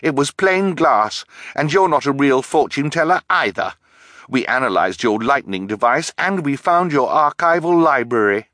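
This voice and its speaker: A man's voice: mid-range voice, a little slow, hardly any pauses, sharp tone, no echo, clean studio sound, voice pushed up. A man voicing an angry, determined girl speaking firmly. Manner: firm, angry.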